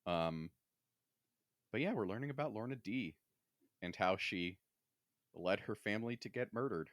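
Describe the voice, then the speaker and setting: Male podcast co-host, slightly low voice, opinionated explainer, casual delivery, very dry recording. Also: animated, moderate pace, no room sound.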